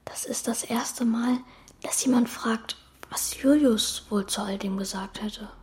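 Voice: mid-range voice, measured pace, slightly rough. A performer voicing an earnest, troubled young boy who tells his story in a children's radio play. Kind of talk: radio play narration and dialogue.

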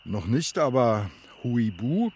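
A man's voice: medium pitch, very animated, takes it slowly, very noisy. An actor playing a jovial middle-aged fairground father in a children's radio play. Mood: jovial, playful.

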